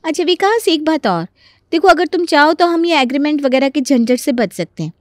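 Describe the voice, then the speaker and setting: A woman playing a hopeful, scheming young daughter-in-law in a Hindi animated story, smooth-talking and reassuring. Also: sits high, a little fast, no echo, studio quality. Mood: coaxing. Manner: coaxing, reassuring.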